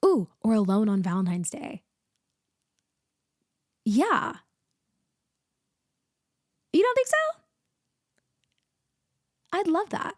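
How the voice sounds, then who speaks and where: A woman playing an upbeat, teasing, affectionate young best friend in a casual, playful and expressive ASMR roleplay, speaking one side of a conversation. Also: high voice, very slow, pauses often, no room sound, studio quality, exaggerated voice. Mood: playfully indignant.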